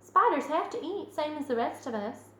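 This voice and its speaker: A woman voicing an eager, imaginative, stubborn young farm girl in a storybook, earnest and excitable. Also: sits high, normal speed, voice pushed up. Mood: excited, insistent. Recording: roomy echo, music underneath.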